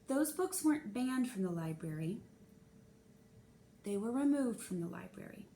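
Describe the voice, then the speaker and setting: A woman voicing a stern, condescending female principal in a children's storybook, measured and pompous. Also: medium pitch, animated, dark tone, a little hiss or hum. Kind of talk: children's novel read-aloud.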